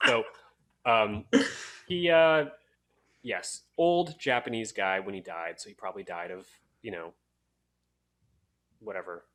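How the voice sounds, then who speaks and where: Bright, low-pitched man chatting casually. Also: unhurried, pauses often. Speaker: podcast host. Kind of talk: casual podcast chat.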